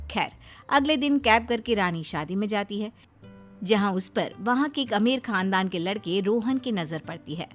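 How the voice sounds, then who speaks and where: Woman narrating a cartoon moral story. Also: medium pitch.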